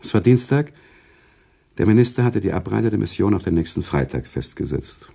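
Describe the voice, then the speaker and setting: A sober author telling his tale in a radio drama. His low, mellow voice is husky and creaky, delivered with measured restraint. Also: expressive, full character voice. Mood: sober, reflective. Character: reflective male author-narrator, a sober, sympathetic confidant. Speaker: narrator. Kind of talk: radio drama narration and dialogue.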